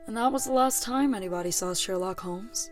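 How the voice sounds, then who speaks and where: A woman playing a sympathetic, solemn storyteller in an audio play, speaking in a measured way. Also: mid-range voice, natural voice. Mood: solemn, reflective. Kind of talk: audio play acting and narration. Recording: music under the voice. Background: music.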